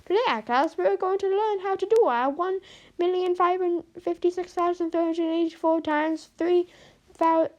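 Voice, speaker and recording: A woman's voice: very high voice, darkish tone, muffled recording, voice pushed up. A woman doing a fussy Italian-accented male teacher. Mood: bustling. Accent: put-on Italian. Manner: quick, filled with drawn-out "ah"s.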